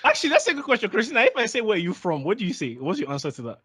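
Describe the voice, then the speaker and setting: A man's voice: high-pitched, huge pitch swings, racing, sharp tone, breathy edge. A young man hosting a podcast, asking playful questions and laughing often in casual conversation. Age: young adult. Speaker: podcast host.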